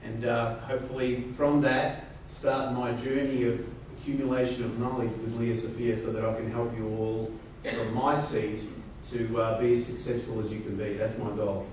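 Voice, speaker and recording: A man's voice: medium pitch, flat delivery, a little mellow. Joking Australian man in an echoey hall. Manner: joking, self-deprecating, halting with fillers. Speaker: company executive giving a speech. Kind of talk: informal rally speech. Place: large event hall on stage. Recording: echoey hall, from far off, crowd noise.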